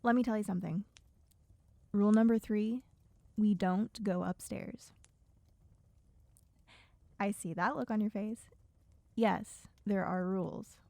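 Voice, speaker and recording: Woman acting as guarded dystopian survivor, creaky mellow voice, sardonic, bossy, dry delivery, audio roleplay. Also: medium pitch, fairly even intonation, slow pace, pauses often, no echo. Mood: stern, wry. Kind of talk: audio roleplay acting, speaking to a silent listener.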